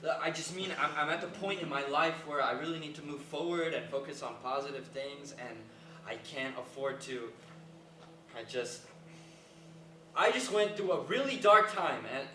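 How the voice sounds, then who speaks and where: A man's voice: fairly high pitch, expressive, normal speed, sharp tone. A man in a staged reading, playing a nervous, reluctant caregiver who stammers and sounds apologetic but sensible. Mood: apologetic, earnest. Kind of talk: staged reading of a dramatic comedy. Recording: echoey room from far off, faint noise.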